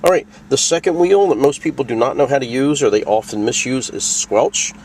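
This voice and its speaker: A man of medium pitch presents a casual product tutorial. He explains each step in plain, practical words. The mic sits some way from him, with faint vehicle noise behind the voice. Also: lively intonation, fairly quick, darkish tone, recorded from a distance. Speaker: video host demonstrating a radio.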